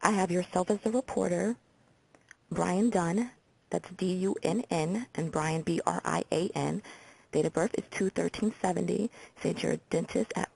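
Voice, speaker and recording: A woman playing a procedural, businesslike hotline call taker with an American accent and a husky, creaky voice, in a simulated intake call. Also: fairly low pitch, normal speed, darkish tone, full character voice, poor audio. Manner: procedural, reads details back methodically. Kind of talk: simulated phone intake interview for training.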